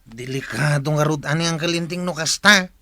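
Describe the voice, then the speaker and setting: Male actor: nosy village nephew, Ilocano accent, raised pitch, very bright, surprised comic reactions. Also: moderate pace. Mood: astonished. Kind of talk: Ilocano comedy radio drama acting.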